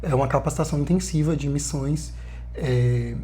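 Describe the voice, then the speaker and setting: Earnest young missionary man, mellow voice. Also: on the high side, normal speed. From Bahia, Brazil. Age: 20s. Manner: earnest, lively storytelling with frequent fillers. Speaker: missionary guest. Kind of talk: casual podcast conversation.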